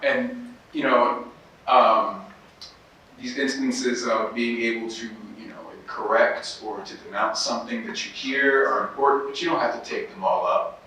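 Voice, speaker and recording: A man speaking on a convention panel, telling stories in an animated, emphatic way. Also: medium pitch, measured pace. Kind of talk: casual panel discussion. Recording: echoey hall from far off with faint noise.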